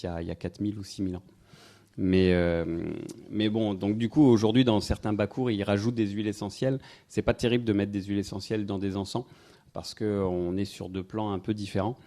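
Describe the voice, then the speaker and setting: Hesitant man with a dark, low voice. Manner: rambling, hesitant, many fillers, wry asides. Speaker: speaker giving a talk on incense history. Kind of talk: informal public talk to a live audience. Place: festival evening event, indoors.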